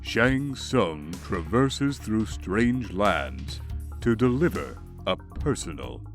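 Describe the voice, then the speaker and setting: A man's voice: lowish voice, sing-song, takes it slowly, soft background music. A man giving dramatic scripted narration for a comic dub.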